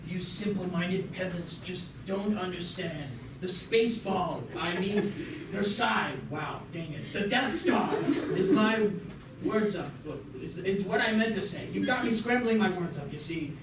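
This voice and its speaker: A man playing a greedy, pompous, tongue-tripping dark lord emperor in an amateur comic puppet show. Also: high voice, animated, measured pace. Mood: pompous, flustered. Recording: echoey room, far mic, loud noise.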